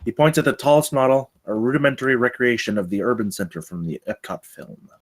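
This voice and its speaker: Fast-talking man narrating a script. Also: mid-range voice. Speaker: narrator reading stage directions. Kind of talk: scripted narration at a table read.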